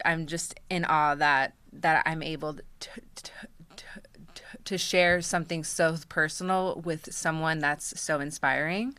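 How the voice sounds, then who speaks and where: A woman's voice: lowish voice, pushed and bright, clean tone, no room sound. A young woman who stutters, giving a candid prepared speech and introducing speakers at a press conference. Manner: stutters with frequent sound and word repetitions; candid, light self-deprecating asides. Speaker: podcast host and press conference emcee.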